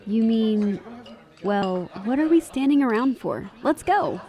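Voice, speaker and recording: An actress playing a pointed, quick-witted estranged wife in a radio drama, direct and needling. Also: female voice, on the high side, animated, warm tone, a faint crowd. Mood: insistent.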